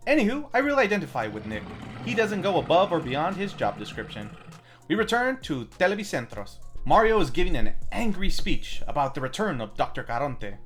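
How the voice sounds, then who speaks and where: A man hosting a film review, reading a scripted movie recap with deadpan, wry asides over music. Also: a little high, fairly quick. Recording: music bed under the voice.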